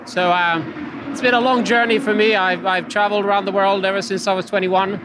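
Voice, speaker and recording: A man from Finland with a Finnish accent, answering interview questions hesitantly and with many filler sounds, outdoors at a loud racetrack. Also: high-pitched, fairly even intonation, fairly quick. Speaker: interview guest, company executive. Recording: noisy outdoor racetrack recording.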